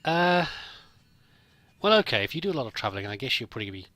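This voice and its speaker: A man's voice: medium pitch, big pitch swings, rapid-fire, pushed and bright, dry recording. A man giving casual, in-character roleplay commentary over a video game, rambling politely and hesitantly. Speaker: let's play host. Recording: faint background noise with game ambience.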